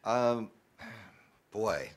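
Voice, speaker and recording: A man answering as an academic panelist, hesitant and deliberate, working out his answer as he speaks. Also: fairly low pitch, animated. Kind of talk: panel answer, thinking aloud.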